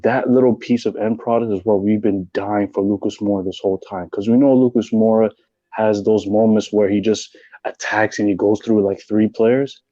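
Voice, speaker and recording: A man's voice: on the low side, steady tone, normal speed, mellow, dry recording, dull audio. A man calling in to a fan show, breaking down the match in earnest, rambling detail. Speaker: caller, football fan. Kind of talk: casual match analysis.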